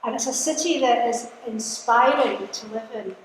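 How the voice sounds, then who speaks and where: A woman's voice: on the high side, moderate pace, slight background noise. Trade union woman in a reverberant hall. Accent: non-Glaswegian. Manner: conversational, personal storytelling. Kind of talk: political speech. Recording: echoey hall, roomy reverb.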